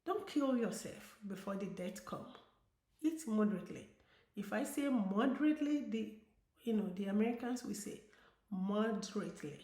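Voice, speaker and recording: A woman's voice: medium pitch, expressive, normal speed, warm tone. A middle-aged woman with a Nigerian accent, a diabetes educator talking to her viewers in an earnest, encouraging way and repeating key words for emphasis. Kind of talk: casual vlog talk to viewers. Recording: roomy echo.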